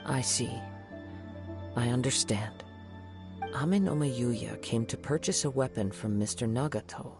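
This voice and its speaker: An actor playing a polite, measured investigator who speaks formally and reassuringly in video game dialogue. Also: male voice, medium pitch, vocal fry, over music. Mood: measured, businesslike. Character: courteous, measured male investigator. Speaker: game character.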